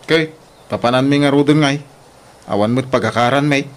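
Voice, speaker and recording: A man's voice: medium pitch, normal speed, a little hiss or hum, natural voice. A man playing a complaining son in an Ilocano radio drama, with an Ilocano Filipino accent. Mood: upset, questioning.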